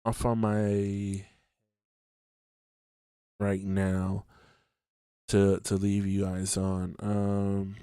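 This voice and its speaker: A man's voice: on the low side, completely flat delivery, drawn-out words, pauses often, dark tone, clear, resonant tone, no room sound, studio quality. A man hosting his own podcast alone, talking casually with lots of ums and restarts, a little stuffy from allergies. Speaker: podcast host. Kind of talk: solo podcast monologue. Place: home, just woke up.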